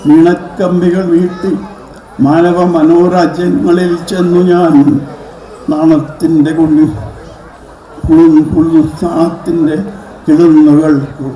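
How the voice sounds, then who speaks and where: A man recites verse in an echoey hall, with some audience sound around him. His voice is fairly high and mellow. He chants the lines in a measured way, sometimes halting and repeating a phrase. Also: flat delivery, some chatter behind. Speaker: poetry reciter. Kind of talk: live poem recitation at a community event.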